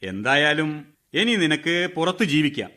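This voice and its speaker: A man's voice: a little high, sing-song, a little slow, pushed and bright, heavily acted. An actor playing a brusque, impatient prison policeman in a radio drama, curt and dismissive.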